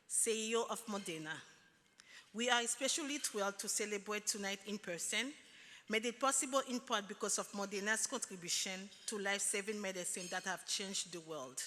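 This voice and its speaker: A woman's voice: mid-range voice, normal speed, bright, forceful tone. A woman from Haiti, a program manager, formally reading a gala introduction. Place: large ballroom. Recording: hall reverb, faint room noise.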